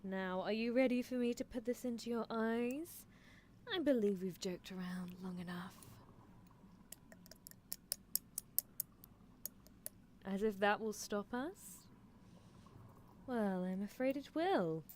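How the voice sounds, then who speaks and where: Casting: woman as playfully menacing girl scientist, medium pitch, theatrical ASMR roleplay, audible background noise. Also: a little slow, darkish tone, lightly acted. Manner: theatrical, teasing, musing. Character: teasing female mad-scientist horse-girl, playfully menacing. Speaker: livestreamer. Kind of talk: roleplay ASMR livestream, answering chat in character.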